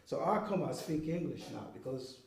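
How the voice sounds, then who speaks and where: A man's voice: on the high side, animated, moderate pace, gravelly. A man from Congo, giving an earnest interview and often checking that the listener follows. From Congo (Kinshasa). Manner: earnest, checks in with "okay?" and "you know what I mean?". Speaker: interview guest. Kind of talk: explanatory interview talk. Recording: roomy echo.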